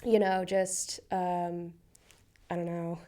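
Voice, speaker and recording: A woman's voice: fairly low pitch, slow pace. A woman guest on a podcast, an author, telling self-deprecating stories, laughing often and filling her talk with "like". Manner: animated, laughs often, many "like" fillers; self-deprecating anecdotes with acted-out quotes. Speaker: guest, children's book author. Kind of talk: casual interview storytelling.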